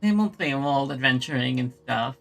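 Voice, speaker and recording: A woman's voice: extremely low pitch, animated, moderate pace, creaky, soft background music. A woman game streamer giving casual playthrough commentary, reading along and reacting.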